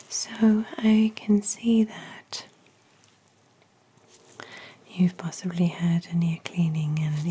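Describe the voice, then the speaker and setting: Soft whispering woman giving gentle exam instructions. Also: fairly low pitch, a little hiss or hum. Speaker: ASMR artist playing an ear examiner. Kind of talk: ASMR medical exam roleplay. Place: small dim room indoors. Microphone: close-up microphone.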